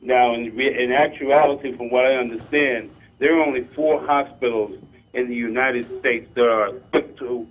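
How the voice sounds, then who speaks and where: A man calling in to a talk show, speaking politely and in a measured way with many hesitations. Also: mid-range voice, fairly even intonation. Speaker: caller. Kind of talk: call-in discussion. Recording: lo-fi phone line.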